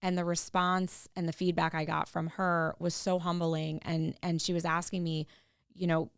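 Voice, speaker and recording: A middle-aged woman, a guest on a podcast, talking earnestly and warmly about her work and family. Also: lowish voice, little pitch movement, moderate pace, clean tone, dry recording, studio quality. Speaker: podcast guest, tax professional. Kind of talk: casual interview chat.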